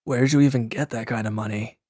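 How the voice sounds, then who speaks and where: A man acting a gruff, guarded former villain in a roleplay audio drama, curt and grumbling, reluctant to lean on anyone. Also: mid-range voice, fairly even intonation, fairly quick, a little mellow, no room sound, clean studio sound, natural voice. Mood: matter-of-fact, insistent. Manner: curt, grumbling, defensive, with sighs and hesitant breaks. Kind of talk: scripted roleplay audio drama addressed to the listener.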